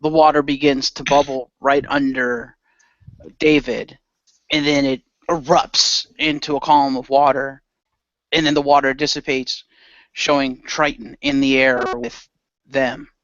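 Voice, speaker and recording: Guy narrating an improvised radio play, medium pitch, super dry sound. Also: little pitch movement, measured pace, a bit bright, no room sound, highs cut off. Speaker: roleplay narrator and player.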